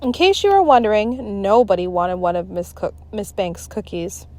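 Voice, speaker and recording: A woman reading a children's book aloud in an expressive, emphatic storytelling style. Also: mid-range voice, moderate pace. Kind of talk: read-aloud story narration.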